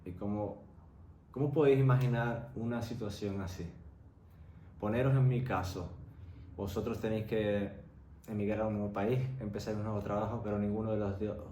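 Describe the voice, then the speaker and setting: A young man telling a candid personal story in a reflective, halting way. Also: lowish voice, dark tone. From Venezuela-born, grew up in Tenerife, Spain. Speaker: vlogger. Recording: roomy echo, faint background noise.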